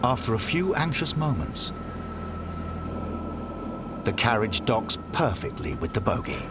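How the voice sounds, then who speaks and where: Male documentary narrator, very lively pitch. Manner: measured, storytelling delivery. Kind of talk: scripted narration. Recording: voice-over with background music.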